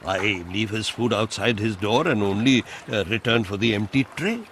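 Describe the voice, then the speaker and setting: A man's voice: fairly low pitch, normal speed, fairly bright tone, a little hiss or hum, lightly acted. An actor with an Indian accent playing a reverent, fussy older male devotee in a radio drama. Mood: insistent. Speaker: actor playing a holy man's devoted host.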